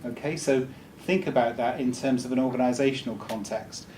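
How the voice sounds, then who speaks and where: A man's voice: mid-range voice, a little fast, darkish tone. A man from Wales, giving a chatty, joking talk to a live audience with many ums and restarts. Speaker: speaker. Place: meeting room. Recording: distant mic with faint room noise.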